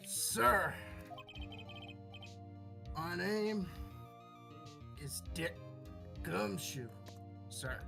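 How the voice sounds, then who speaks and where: A man's voice: sits high, lively intonation, extremely slow, gravelly, pauses often, heavily acted. Dutiful homicide cop acted by a man, earnest and deferential, game music behind. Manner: earnest, says sir often. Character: earnest, deferential male police homicide detective.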